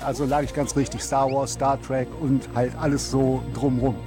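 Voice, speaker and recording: A man's voice: medium pitch, flat delivery, moderate pace, over loud music. A man interviewing a guest for a culture magazine, asking informal, curious questions and thinking aloud.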